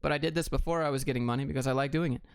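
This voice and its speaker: A man's voice: medium pitch, fairly even intonation, racing, vocal fry, smooth, no room sound, clean studio sound. An American man hosting a casual livestream, reading out questions and answering them in a blunt, clipped, offhand way. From Atlanta, Georgia, United States. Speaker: livestream host.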